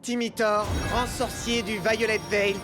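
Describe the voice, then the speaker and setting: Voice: medium pitch, level tone, unhurried, close to an everyday voice. An actor voicing an earnest, determined boy inventor in a children's cartoon, with insistent delivery. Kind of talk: animated children's cartoon dubbing. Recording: music behind the voice. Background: music.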